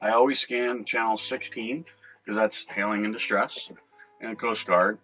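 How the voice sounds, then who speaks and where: An older man hosting a boating video, talking casually and haltingly as he explains things inside a small boat cabin. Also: fairly low pitch, steady tone, sharp tone, faint music behind, low-quality recording. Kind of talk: casual explanatory vlog talk.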